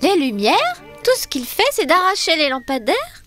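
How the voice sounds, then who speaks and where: A woman's voice: very high-pitched, huge pitch swings, moderate pace, a bit bright, full character voice. A woman voicing a curious, confident young girl adventurer in a French children's cartoon dub. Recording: music behind the voice.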